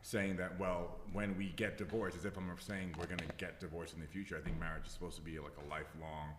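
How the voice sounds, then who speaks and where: A man with a very deep voice speaks hesitantly, often restarting his sentences. The sound is roomy, with a long reverb and a faint noise behind it. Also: level tone, quick pace. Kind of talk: casual discussion.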